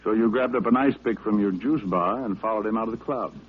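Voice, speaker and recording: A man's voice: fairly low pitch, fast talker, slightly rough. An actor playing a sardonic, wisecracking male private detective who also narrates in 1950s radio drama style. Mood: cool needling. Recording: narrow, tinny old-time radio recording.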